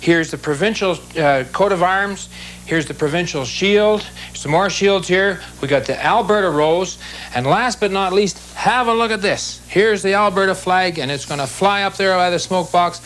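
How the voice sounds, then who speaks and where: A man in his fifties talks casually and eagerly, showing things off. His voice sits a little high for a man, with a faint hiss of noise in the background. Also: moderate pace, a bit bright, rough recording. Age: 50s. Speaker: locomotive restorer. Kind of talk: casual chat.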